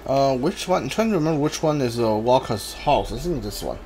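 A man's voice: medium pitch, expressive, brisk, darkish tone, rough recording. A man giving casual, off-the-cuff commentary as he plays a video game, muttering his thoughts aloud to himself. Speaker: video game streamer playing a blind playthrough. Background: video game music.

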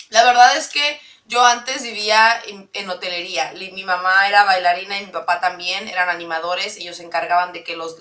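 Giggly, bright young Mexican woman. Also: medium pitch, distant mic. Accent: mixed Latin American Spanish with Mexico City base. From Mexico City. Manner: chatty, giggly, rambling with many fillers. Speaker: vlogger. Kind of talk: casual chat to camera. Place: small bedroom indoors.

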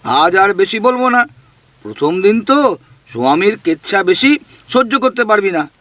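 একজন পুরুষের করা খোঁচা দেওয়া ভূত. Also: male voice, high-pitched, lively intonation, a little slow, sharp tone, no echo, full character voice. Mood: teasing. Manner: sly, needling, spills secrets. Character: mischievous male ghost, gossiping and teasing. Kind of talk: radio play acting.

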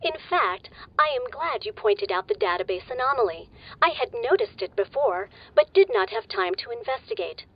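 A woman voicing a polite female base computer AI who speaks in a calm, formal, deadpan way, a little patronizing. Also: on the high side, normal speed, slightly rough, lo-fi sound, exaggerated voice.

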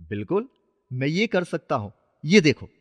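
A man's voice: fairly high pitch, sing-song, moderate pace. Obliging magic umbrella voiced by a man, reverberant. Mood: eager obedience. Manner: reassuring, obliging. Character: friendly magical talking umbrella, obliging servant. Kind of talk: dramatized children's moral story.

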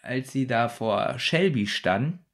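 A man's voice: mid-range voice, lively intonation, unhurried, fairly bright tone. A man co-hosting a podcast in casual chat, keeping things brief and teasing his partner. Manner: brief, teasing, laughs often. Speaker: podcast co-host.

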